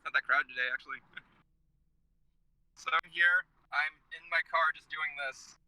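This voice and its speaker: A man's voice: fairly high pitch, fairly even intonation, normal speed, pushed and bright, no echo, poor audio. A man hosting a casual talk show from inside his car, joking and rambling with a groggy voice after a day of work. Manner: joking, chatty, self-interrupting.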